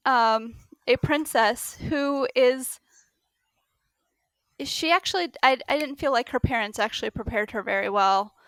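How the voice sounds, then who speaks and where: A woman with a fairly high voice chats as a podcast panelist in a very dry recording. Her delivery is casual and halting, as if working out her thoughts while she talks. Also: a bit bright, smooth, no echo. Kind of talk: casual book discussion.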